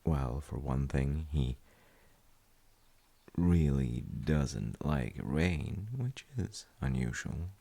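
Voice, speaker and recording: A man playing a gentle, thoughtful dad in an intimate audio roleplay, speaking hesitantly and softly with a gravelly, husky voice. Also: a bass voice, expressive, drawn-out words, exaggerated voice. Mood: wry, musing. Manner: hesitant, musing, soft-spoken. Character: gentle, thoughtful dad comforting his child. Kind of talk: intimate audio roleplay, slow bedtime chat.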